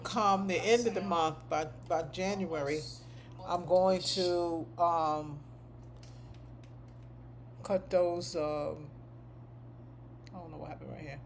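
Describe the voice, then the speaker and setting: A woman with a deep voice, a medical coding instructor, chatting casually to her class on a live stream, rambling and repeating points for emphasis. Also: steady tone, slow, pauses often, slight background noise.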